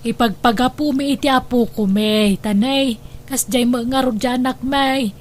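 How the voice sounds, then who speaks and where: High-voiced emotional Ilocano mother played by a woman. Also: a little hiss or hum, close to an everyday voice. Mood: earnest, pleading. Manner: expressive, drawn-out endearments and exclamations. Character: emotional middle-aged Filipino mother, fretful, tender. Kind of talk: Ilocano radio drama acting.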